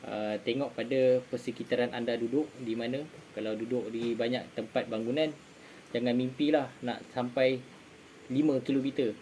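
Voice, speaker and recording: A Malaysian man talks his viewers through practical advice in an informal, conversational way. His voice is mid-pitched and mellow, and he often restates a phrase to drive it home. Faint noise sits behind the voice. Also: steady tone, normal speed, poor audio. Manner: repeats phrases for emphasis, informal tag words. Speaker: amateur radio vlogger giving advice. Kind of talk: casual explainer chat to viewers.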